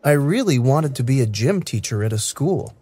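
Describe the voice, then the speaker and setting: Brief: male reader for learner audio, careful diction, lively intonation, dry booth sound. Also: medium pitch, normal speed, no echo. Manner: clear, careful, evenly paced delivery. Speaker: English listening practice reader. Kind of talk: slow scripted reading for learners.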